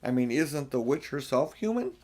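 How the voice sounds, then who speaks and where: A man's voice: medium pitch, animated, moderate pace, a little mellow, lightly acted. A man voicing worried, questioning children in a storybook, with an earnest, exclamatory delivery. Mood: puzzled. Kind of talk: storybook character voices.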